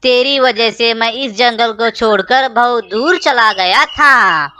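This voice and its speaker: A bullying owl out for revenge in a children's cartoon , played by a woman. The delivery is slow and menacing, in a fairly high, sharp voice that taunts. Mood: vengeful. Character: vengeful bullying male owl, taunting old enemy. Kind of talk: children's cartoon story dialogue.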